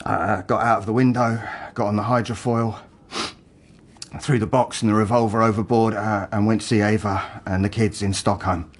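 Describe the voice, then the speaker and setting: An actor playing an embittered, broken working man making a halting, emotionally raw confession in a radio drama. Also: fairly low pitch, little pitch movement, natural voice. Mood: subdued, halting. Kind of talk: naturalistic radio drama acting.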